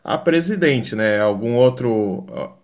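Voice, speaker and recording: A man's voice: medium pitch, animated, slow pace. A man interviewing a candidate, posing long questions that he keeps qualifying. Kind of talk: interview conversation.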